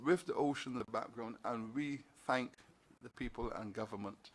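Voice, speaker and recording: A man from Barbados giving a formal speech to a council meeting, measured and oratorical, building his points with repeated phrases. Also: medium pitch. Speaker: university leader giving an address. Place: meeting hall.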